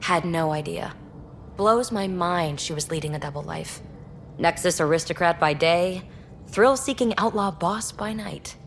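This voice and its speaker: A woman acting a brash, candid young rogue explorer in a video game, talking in a snarky, animated and colloquial way. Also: fairly low pitch, a little slow, slight background noise. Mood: hurt, bitter.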